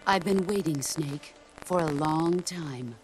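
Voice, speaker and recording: Grave, low-voiced veteran soldier mentor. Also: female voice, darkish tone, soft background music. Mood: solemn, wistful. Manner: measured, grave, philosophical delivery. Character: legendary middle-aged female soldier mentor, solemn and philosophical. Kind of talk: dramatic video game cutscene monologue.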